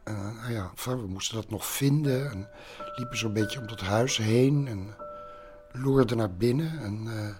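A man's voice: lowish voice, a little slow, over music. A man casually recounting a memory in a meandering, conversational way. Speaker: actor interviewed about memories. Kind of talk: casual anecdote telling.

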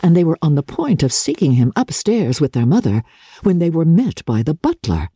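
Mellow Canadian woman reading classic literature. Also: sits low, slightly rough, no room sound, studio quality. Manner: expressive, measured storytelling. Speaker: audiobook narrator, actress and radio host.

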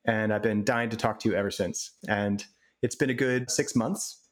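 A man's voice: on the low side, steady tone, normal speed. A male podcast host leads the interview with eager, friendly questions. He sets out topics in a chatty, conversational tone.

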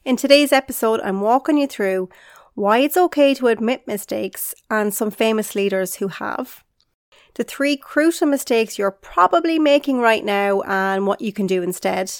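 A woman's voice: a little high, animated, normal speed, no room sound, studio quality. A woman, hosting a solo podcast in an upbeat, encouraging way, slightly congested and nasal from being unwell. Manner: upbeat, encouraging, direct to listener. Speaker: podcast host and leadership coach.

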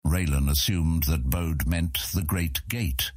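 A man's voice: a bass voice, takes it slowly, darkish tone, husky, no room sound, studio quality. A man narrating a scripted fantasy audiobook as its storyteller.

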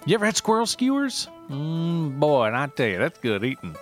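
A man voicing an aggrieved, sentimental rustic ginseng forager with an Appalachian accent and halting, folksy speech, in a narrated story. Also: fairly high pitch, huge pitch swings, soft background music, voice pushed up. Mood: eager, folksy. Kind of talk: mock reality-show character in a narrated story.

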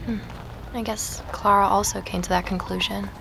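An actress playing a curious, thoughtful young woman investigator in an audio drama, with a creaky voice and an understated manner. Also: mid-range voice, level tone, normal speed, background noise, natural voice. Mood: curious, intent. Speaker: lead actor and narrator of an audio drama. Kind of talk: audio drama dialogue and first-person narration.

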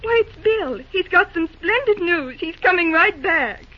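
A dull, band-limited old radio recording of an earnest young secretary. Her voice is high and girlish, and she speaks with eager courtesy, often stumbling politely over her words in radio-play fashion. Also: expressive, a little slow, slight background noise. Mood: excited. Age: young adult. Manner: hesitant, stammering, eagerly courteous. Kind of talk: old-time radio drama acting.

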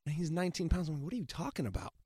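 A man on a talk show panel, chatting casually and telling animated anecdotes. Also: on the high side, rapid-fire, breathy edge, no echo, clean studio sound. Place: dry radio studio.

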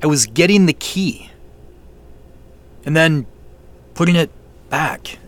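A defensive, high-voiced young man. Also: lively intonation, slow. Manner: defensive, accusing. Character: defensive young man sneaking around a farm. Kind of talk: audio drama acting.

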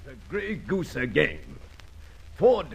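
A man playing a gruff, exasperated police superintendent with a rough voice in a vintage radio drama. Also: on the high side, wildly swooping pitch, slow, slight background noise, exaggerated voice.

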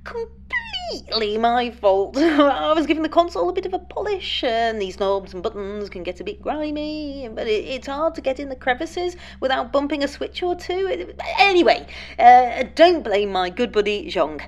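A woman's voice: high voice, very animated, normal speed. A woman playing a fussy, nervously cheerful moonbase cleaner in a sci-fi audio drama, chirpy, flustered and stammering.

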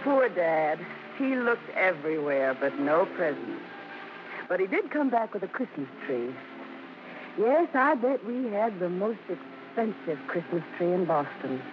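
A woman narrator recalls family life in a radio drama. Her delivery is wry and fond, with a lively, rising and falling lilt. The vintage recording is dull and clearly noisy. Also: fairly low pitch, unhurried. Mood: fond, amused. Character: daughter fondly narrating childhood memories of her showbiz parents.

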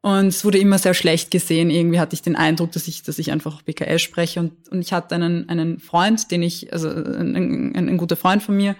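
A woman's voice: lowish voice, fairly even intonation, a little fast, hardly any pauses. A young woman from Austria, a lawyer talking candidly as an interview guest, often restarting and repeating words. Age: young adult. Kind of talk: casual interview conversation.

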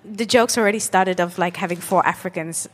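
A woman's voice: on the low side, fairly quick. A woman from Ethiopia hosting a panel discussion, talking thoughtfully with many hesitations and restarts. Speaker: host and programmer. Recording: reverberant hall.

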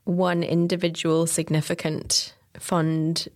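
A woman's voice: deep voice, flat delivery, slow, no room sound, studio quality. A woman in her thirties, from Wales, chatting casually as a podcast guest in a giggly, teasing way. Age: 30s. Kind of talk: casual chat.

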